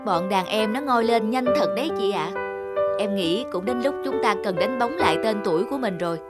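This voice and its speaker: A woman's voice: mid-range voice, expressive, fairly quick, loud music behind the voice, natural voice. A woman voicing a practical, scheming young female assistant in a cartoon, deferential in manner. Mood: businesslike. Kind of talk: cartoon dub acting.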